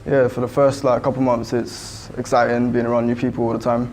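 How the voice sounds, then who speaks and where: A man's voice: medium pitch, level tone, brisk, a little mellow, slight background noise. A young man giving laid-back interview answers, full of fillers. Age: young adult. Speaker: student interviewee.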